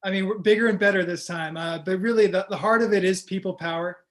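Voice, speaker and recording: A man, a candidate, giving energetic, rallying answers in an interview over a video call. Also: high voice, fast. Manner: energetic, rallying, stump-speech delivery. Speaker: congressional candidate.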